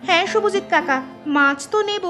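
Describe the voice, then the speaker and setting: A woman's voice: extremely high pitch, a little fast, bright, forceful tone. A woman voicing a young village daughter in a cartoon fairy tale, earnest and pleading. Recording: music under the voice.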